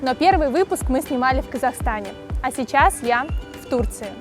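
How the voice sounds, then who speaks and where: Russian-accented woman hosting, high voice. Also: lively intonation, fairly quick, music in the background. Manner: simple broken English questions, fluent in her native language. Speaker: travel show host. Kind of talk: vlog narration and interviewing.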